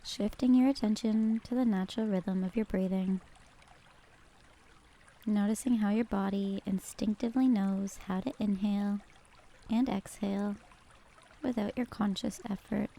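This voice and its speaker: A woman narrating a scripted guided meditation in a calm, gentle, measured manner. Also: medium pitch, vocal fry.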